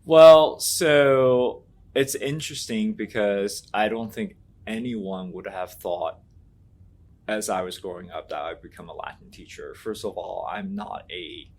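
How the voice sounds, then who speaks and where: A man's voice: lowish voice, a little slow. A man from Taiwan whose first language is Mandarin, a Latin teacher giving earnest, reflective interview answers, thinking aloud with fillers and restarts.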